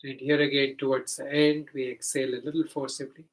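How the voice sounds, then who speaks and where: A man teaching guided meditation, giving gentle, simple step-by-step instructions with hesitant pauses. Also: mid-range voice, little pitch movement.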